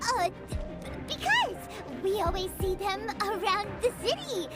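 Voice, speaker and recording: A woman voicing a tiny chirpy female fairy companion in a video game, with a high childlike voice. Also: big pitch swings, measured pace, loud music behind the voice, heavily acted. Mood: nervous. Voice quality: high childlike voice, speaks of herself by name.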